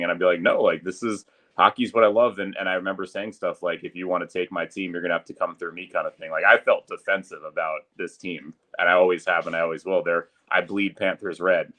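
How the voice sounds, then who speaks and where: This is a young man with a very low voice, talking casually as a guest on a podcast. He speaks eagerly and loosely and keeps padding his sentences with little fillers. His delivery stays relaxed and conversational throughout. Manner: enthusiastic, loose and chatty, leans on fillers like "kind of thing". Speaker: arena public address announcer, guest.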